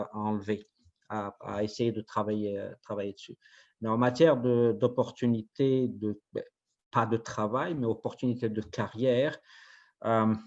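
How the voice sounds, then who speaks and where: A man's voice: lowish voice, lo-fi sound. A man speaking as a guest on a radio forum discussion, hesitant, with many restarts and fillers. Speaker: panel guest.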